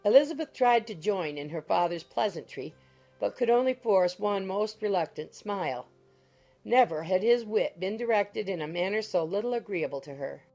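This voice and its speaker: A woman's voice: mid-range voice, animated, normal speed, warm tone, faint music behind. A woman reading scripted audiobook narration as the narrator of a story.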